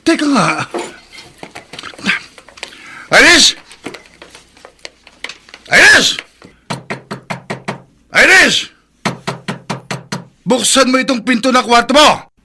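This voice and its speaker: A man's voice: very high voice, sing-song, slow, long pauses, a little hiss or hum, heavily acted. A man playing an embittered, hot-tempered father of two who scolds and complains in a Filipino radio drama. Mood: angry. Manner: scolding, loud, aggrieved.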